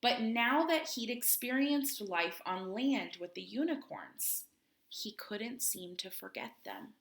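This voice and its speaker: A woman reading a children's picture book aloud expressively, with dramatic pauses, chatty asides and questions for her young listeners. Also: on the high side, very animated. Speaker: children's read-aloud host.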